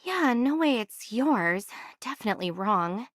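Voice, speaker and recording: Level-headed, higher-voiced young adventurer. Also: female voice, big pitch swings, measured pace, a bit bright, dry recording, studio quality. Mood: dry, casual. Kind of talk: anime video game voice acting.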